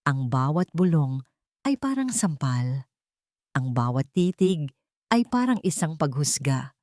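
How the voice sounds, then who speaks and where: A woman with a fairly low voice narrates a scripted love story. Her delivery is emotive and deliberately phrased, and the recording is close and dry, with hardly any room in it. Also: sing-song, a little mellow, no echo.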